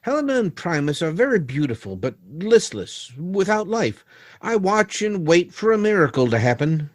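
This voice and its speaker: A formal, uneasy doctor of science acted by a man for a radio drama. The voice sits a bit high and swings widely, hesitant and deferential but able to command. It is recorded very dry. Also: sing-song, moderate pace, no room sound. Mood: grave, uneasy.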